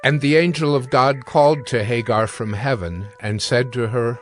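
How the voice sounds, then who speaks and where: A man narrating scripture from a script in a formal, measured way. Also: mid-range voice. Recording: background music under the voice.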